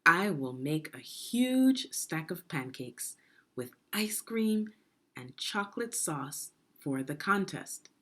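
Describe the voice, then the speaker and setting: A woman's voice: fairly low pitch, big pitch swings, slow, exaggerated voice. A woman reading the part of a boastful, confident chef in a children's storybook, with emphatic delivery. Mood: proud, boastful.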